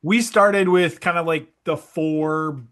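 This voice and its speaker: A man in his thirties with an Upper Midwest accent, chatting casually as an interview guest, relaxed and friendly with plenty of ums. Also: fairly high pitch, slow pace. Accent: Upper Midwest American. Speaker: guest, esports director.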